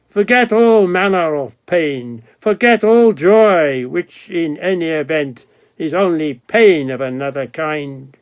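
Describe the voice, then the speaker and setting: An actor playing an ancient, grandiose male god of time, speaking in a solemn, incantatory way with long dramatic pauses. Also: on the high side, clear, resonant tone. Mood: solemn commanding. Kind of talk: dramatic poetic monologue.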